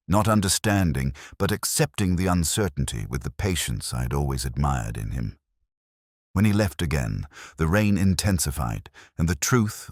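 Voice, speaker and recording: A man with an extremely low voice narrates a detective story in the first person as a weary, melancholy inspector. His delivery is solemn, measured and literary, yet the pitch moves expressively through each phrase. The sound is dry and close, with no room around it. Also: no room sound. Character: weary, melancholy male police inspector recounting a case. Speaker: narrator of an audio detective drama.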